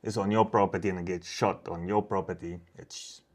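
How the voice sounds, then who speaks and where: A man with a South African accent talking casually in an interview as a professional hunter, in plain, slightly halting English. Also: sits low, steady tone. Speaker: professional hunter, safari outfitter.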